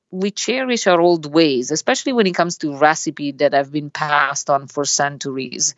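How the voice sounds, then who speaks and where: A woman with an Italian accent, a business school professor explaining her ideas in measured, explanatory answers as a podcast guest. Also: low voice, dry recording, highs cut off. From Italy.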